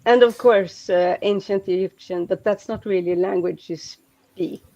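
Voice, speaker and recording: A woman's voice: mid-range voice, steady tone, moderate pace, mellow, lo-fi sound. A Swedish woman chatting casually in English as a second language, hesitant with many restarts and fillers. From Sweden. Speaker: guest. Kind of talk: casual chat.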